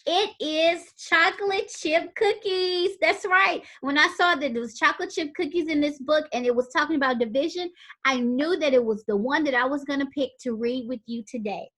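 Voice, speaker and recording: A woman's voice: sits high, fairly bright tone. A woman teacher giving a read-aloud lesson for young children, addressing them directly in a sing-song way. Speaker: elementary school teacher.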